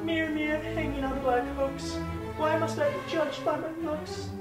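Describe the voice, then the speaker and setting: A woman plays a feisty young fairy tale princess with a very high voice. She declaims with sassy confidence in a comic stage play. The sound is roomy and distant, with music underneath. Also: steady tone, moderate pace, lightly acted. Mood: earnest. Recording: echoey stage, distant mic, music behind.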